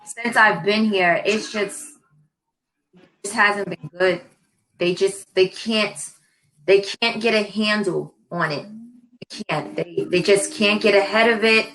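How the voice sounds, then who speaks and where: A woman's voice: mid-range voice, a bit bright, poor audio. A young woman from New York City, an English tutor chatting casually on a video call and often repeating her phrases. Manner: repeats phrases, friendly and measured.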